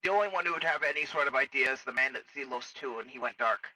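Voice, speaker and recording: A man playing a blunt, matter-of-fact military medic in improvised sci-fi game roleplay, explaining things haltingly. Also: mid-range voice, pushed and bright, dry recording, rough recording.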